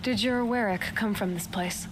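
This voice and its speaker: An actress playing a determined, inquisitive young huntress in a video game, with a direct, dry way of speaking. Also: female voice, mid-range voice, moderate pace, background noise, natural voice. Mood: probing. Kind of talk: video game dialogue acting.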